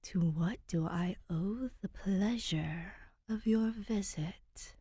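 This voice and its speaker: A woman acts out an ancient female ghost who rules a city, in a storybook style. She speaks slowly and formally, with stately poise and a mysterious, amused air. The sound is dry and intimate. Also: mid-range voice, warm tone, breathy edge, no room sound. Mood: formal, inquiring. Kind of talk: fan fiction audiobook character voice.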